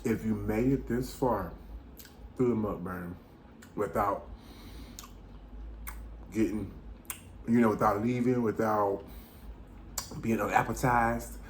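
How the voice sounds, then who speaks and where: A man's voice: on the low side, steady tone, slow, pauses often, slight background noise. An American man chatting casually and slangily between bites as a food vlogger, with an informal way of talking. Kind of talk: casual chat while eating.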